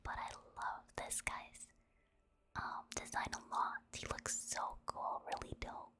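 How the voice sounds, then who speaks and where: A woman giving soft-spoken, whispered ASMR commentary over video game play, in a gentle, casual and unhurried manner.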